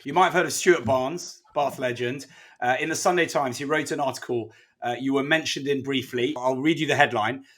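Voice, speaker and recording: A man asking a guest measured interview questions as a podcast co-host. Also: mid-range voice, fairly bright tone.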